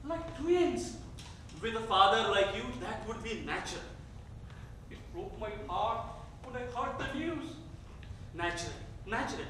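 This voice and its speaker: High-voiced, effusive husband played by a man. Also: expressive, moderate pace, long pauses, sharp tone, a little hiss or hum. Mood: self-pitying. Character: talkative, self-satisfied husband and father. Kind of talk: staged play reading. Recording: very echoey theater, distant mic.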